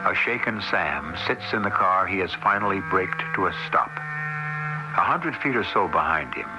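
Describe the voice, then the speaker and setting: A man hosting and narrating a radio drama in a suspenseful, theatrical, deliberate way. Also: sits low, expressive, over loud music. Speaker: host and narrator. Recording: muffled old radio recording.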